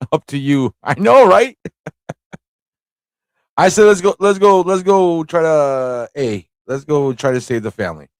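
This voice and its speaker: A man's voice: fairly high pitch, expressive, slow pace, long pauses, dry recording, studio quality. A man hosting a casual livestream, informal and playful as he thinks aloud and chats with viewers. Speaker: livestream host playing an interactive story.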